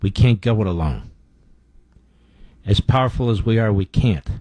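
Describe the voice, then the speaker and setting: Blunt low-voiced male radio host. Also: animated, normal speed, warm tone, dry recording. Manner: blunt and scornful, with many fillers. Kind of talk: opinionated radio commentary.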